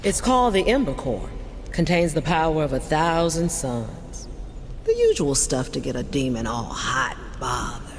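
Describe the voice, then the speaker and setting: An actor playing a sardonic demon in a video game, theatrical and teasing, with a languid drawl. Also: male voice, a little high, wildly swooping pitch, normal speed. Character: sardonic, drawling demon freed from a stone prison. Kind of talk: video game dramatic acting. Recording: long reverb, noisy ambience behind.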